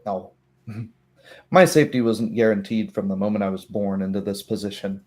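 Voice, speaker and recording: A man's voice: lowish voice, fairly even intonation, normal speed, a little mellow, natural voice. A man playing a proud, fatalistic noblewoman in a tabletop roleplaying game, resigned yet defiant. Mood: resigned defiance.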